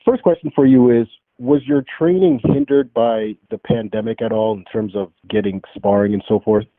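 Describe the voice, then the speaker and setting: Male journalist, slightly low voice, narrow telephone sound; polite, conversational interviewing. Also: normal speed, no room sound. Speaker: reporter asking questions. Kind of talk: polite conversational press questioning. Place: phone line on a teleconference. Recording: narrow muffled telephone conference line.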